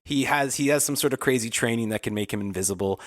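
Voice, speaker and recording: A man's voice: medium pitch, fast, no room sound, studio quality. A man, a podcast host giving a casual, rambling recap.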